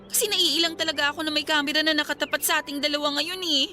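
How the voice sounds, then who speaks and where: A woman's voice: high voice, steady tone, fast, bright, forceful tone. A woman with a Filipino accent playing an anxious, submissive young girlfriend in a radio drama. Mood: worried, reluctant.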